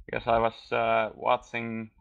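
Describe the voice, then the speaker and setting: A man whose first language is Finnish answers in a slow, hesitant, flat way. His voice is gravelly yet smooth. The sound is thin and phone-like, as over voice chat. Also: mid-range voice. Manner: hesitant, halting with many fillers. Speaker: guest, gamer.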